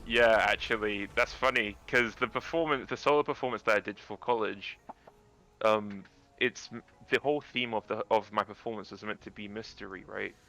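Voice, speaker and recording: A man with a naturally deep voice, a guest actor chatting casually about acting, rambling and restarting his sentences. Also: steady tone, moderate pace, gravelly, pushed and bright, rough recording.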